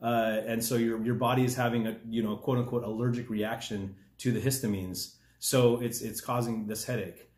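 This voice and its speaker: A man's voice: mid-range voice, fairly even intonation, moderate pace, hardly any pauses, a little mellow. A man in his forties, a winemaker, explaining wine questions in a friendly casual way, often restarting his sentences, filmed across a table indoors. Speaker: winemaker answering viewer questions. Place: dining room at a table, indoors. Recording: echoey room.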